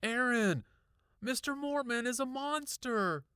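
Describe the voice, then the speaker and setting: A man voicing a frightened, insistent young girl in a children's horror audiobook, shrill, panicky and breathless. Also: lively intonation, slow, pushed and bright, smooth, no echo, clean studio sound, heavily acted. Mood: panicked, pleading.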